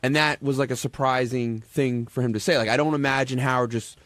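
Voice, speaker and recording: A man on a radio panel, chatting casually in a halting, filler-heavy way. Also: medium pitch, steady tone, fairly bright tone, no room sound.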